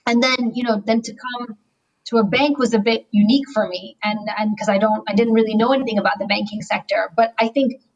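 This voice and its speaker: A woman's voice: on the high side, steady tone, a little fast, dull audio. A woman guest speaking earnestly in a webinar over a video call, thoughtful with frequent ums. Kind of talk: conversational webinar answer.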